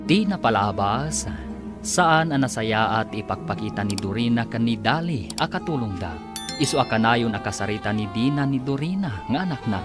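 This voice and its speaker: A man narrating and announcing a radio drama serial. His delivery is formal and measured, with a lively, rising and falling pitch. Music runs under his voice throughout. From Philippines.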